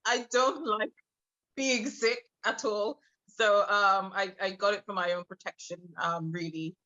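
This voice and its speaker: A woman in her thirties from Trinidad, a podcast guest telling her personal story with many hesitant pauses. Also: medium pitch, steady tone, pushed and bright. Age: 30s. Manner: hesitant, many filler pauses, laughs between thoughts. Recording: muffled lo-fi audio.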